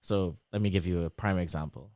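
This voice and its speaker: A young man whose Trinidadian accent drifts toward American, a guest explaining things in a lively, casual way with lots of examples and fillers. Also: sits low, fairly quick, no room sound, clean studio sound. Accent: Trinidadian shifting toward American. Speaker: interview guest, PhD student.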